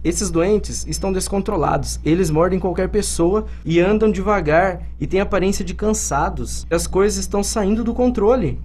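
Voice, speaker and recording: A man's voice: sits high, moderate pace, few pauses, a little mellow, slight background noise, natural voice. An amateur male student actor playing a young, dutiful soldier in a radio drama. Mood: urgent reporting. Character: young dutiful soldier reporting to his sergeant.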